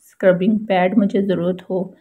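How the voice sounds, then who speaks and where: A woman's voice: medium pitch, little pitch movement, moderate pace, husky, phone-quality sound. A woman with a Pakistani accent giving a casual how-to voice-over as a home vlogger, explaining things politely and repetitively.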